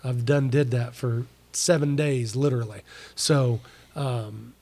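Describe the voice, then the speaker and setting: A man's voice: mid-range voice, fairly even intonation, slow pace, mellow. Congested man chatting, rambling and folksy. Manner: rambling, self-interrupting, folksy slang. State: congested from recent illness. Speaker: podcast co-host. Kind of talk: casual chat.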